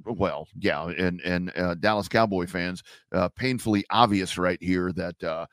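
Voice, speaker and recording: A man's voice: low voice, unhurried, dry recording, studio quality. A man co-hosting a sports podcast, talking loosely, with many stammered restarts and frequent swearing. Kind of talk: casual sports talk.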